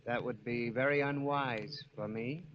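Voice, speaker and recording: An actor playing a mysterious, suspicious stranger in a radio drama, formal, knowing and coolly amused. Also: male voice, mid-range voice, steady tone, unhurried, natural voice. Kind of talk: 1940s radio acting. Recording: muffled, noisy old radio recording.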